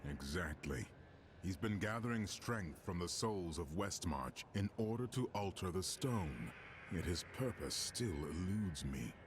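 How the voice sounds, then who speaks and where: A wise and solemn mentor from a fantasy game. His delivery is grave and unhurried, in a deep voice that rises and falls expressively. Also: expressive, noisy background. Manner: grave, measured. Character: solemn, wise male mentor studying an enemy's plans. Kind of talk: video game dramatic acting.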